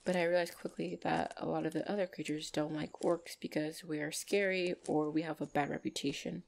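A woman playing a gentle, shy orc lover in an ASMR roleplay, speaking softly and hesitantly with tender pauses. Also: deep voice, flat delivery, gravelly. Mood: wistful, tender.

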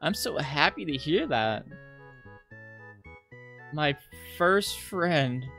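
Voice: medium pitch, sing-song, drawn-out words, gravelly, fairly bright tone, faint music behind, full character voice. A visual novel player voicing a cheerful, adoring schoolgirl in an eager, gushing manner. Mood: happy.

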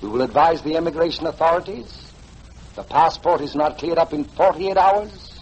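An actor playing a stern police inspector, formal and deliberate, in 1940s radio style. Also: male voice, a little high, a little mellow, slightly rough, low-quality recording. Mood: stern, official.

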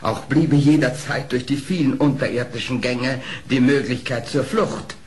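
An actor playing a proud, cunning, vengeful fox baron in an audio play, with a haughty theatrical delivery. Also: male voice, medium pitch, moderate pace, close to an everyday voice. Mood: smug, confident. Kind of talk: German audio play acting.

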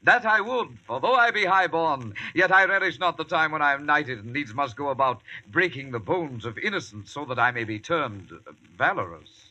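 A man's voice: on the high side, expressive, normal speed, hardly any pauses, bright, forceful tone, dull audio, full character voice. A young man playing an eager, deferential medieval page with earnest, archaic courtly speech in a radio drama. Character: eager, deferential high-born youth serving as medieval page. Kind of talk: 1940s radio acting.

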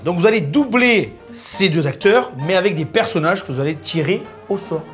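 Excitable male host, quick exclamations, lively delivery. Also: high-pitched, faint music behind. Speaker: game show host. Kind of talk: comic talk-show hosting.

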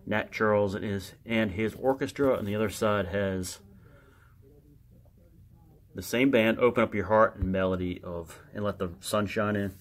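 A man giving casual, unscripted show-and-tell commentary as a collector, halting and muttering to himself as he reads aloud. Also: on the low side. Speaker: record collector showing his records.

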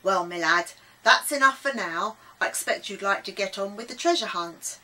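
A woman voicing a genial, weather-beaten old sailor man in a children's story, booming out jovial pirate talk. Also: normal speed, bright, forceful tone, poor audio. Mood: kindly.

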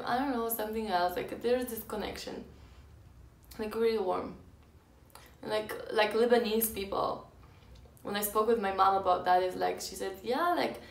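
A woman's voice: on the high side, gravelly. A young woman in her twenties chatting casually to camera in her bedroom, rambling with lots of fillers and restarts. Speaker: vlogger. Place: bedroom indoors. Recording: echoey room.

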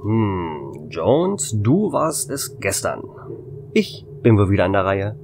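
Man acting a wry, lively space station worker. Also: lowish voice, takes it slowly, heavily acted. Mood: teasing. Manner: teasing, casual, jokey. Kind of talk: amateur radio drama acting. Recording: faint noise and hum.

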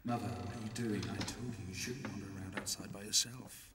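A man as a low-voiced caring son. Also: steady tone, fast, recorded from a distance, background noise, close to an everyday voice. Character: gentle, caring grown son, devoted to his mother. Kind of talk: video game cutscene drama.

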